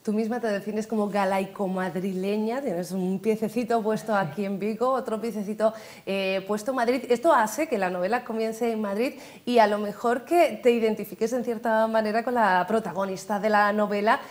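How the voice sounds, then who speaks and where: A woman hosting an interview in a TV studio, leading with lively, chatty questions. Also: mid-range voice, normal speed. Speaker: TV host.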